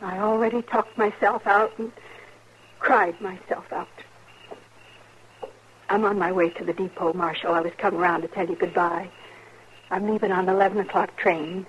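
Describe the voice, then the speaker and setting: An actress playing a proud, embittered older frontier mother in a 1950s radio western, husky and emphatic, with a folksy rural American accent. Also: medium pitch, fairly even intonation, moderate pace, pauses often, slight background noise, natural voice. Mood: bitter, grieving. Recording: dull, telephone-like 1950s radio recording.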